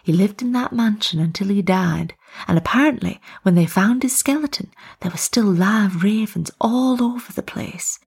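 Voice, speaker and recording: A woman voicing a kindly, eccentric village tea lady in storybook dialogue, chirpy, motherly and gently questioning. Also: medium pitch, moderate pace, gravelly, breathy edge, pitched above the performer's own voice. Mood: storytelling, hushed.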